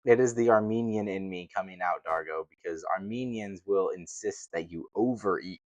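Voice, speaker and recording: A man's voice: low voice, normal speed, dull audio. A man in casual, teasing banter as a livestream co-host, with a jokey, chuckle-prone manner.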